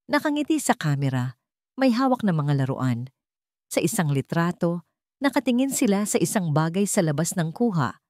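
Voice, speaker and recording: A woman's voice: low-pitched, mellow, dry recording, clean studio sound. A woman reading a scripted romance story in a dramatic, sentimental storytelling manner. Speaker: story narrator.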